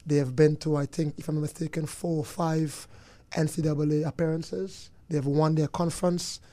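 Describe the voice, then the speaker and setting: A man's voice: on the high side, flat delivery, normal speed, mellow, dry recording. A man, an interview guest and former player and coach, talking in an earnest, reflective way. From Trinidad and Tobago. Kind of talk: casual interview chat.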